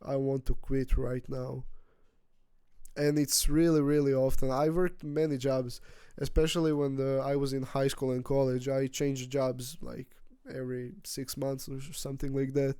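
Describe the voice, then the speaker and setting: Flat, mellow-voiced young man rambling. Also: medium pitch, clear, resonant tone, no echo, clean studio sound. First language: not English. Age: 20s. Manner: rambling, halting, frequent restarts and nervous laughs. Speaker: vlogger. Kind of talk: casual personal vlog monologue.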